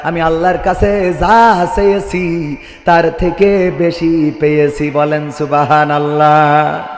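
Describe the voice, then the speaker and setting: Young bangladeshi guy preaching waz, really high voice, half chanting, asking for donations n responses, big echoey reverb. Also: flat delivery, slow, faint music behind. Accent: Bangladeshi Bengali. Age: young adult. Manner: loud declamatory delivery slipping into singsong chant and asking the crowd for responses. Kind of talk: waz sermon with donation appeals and prayers. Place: stage at a religious gathering. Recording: long hall reverb from amplified sound system.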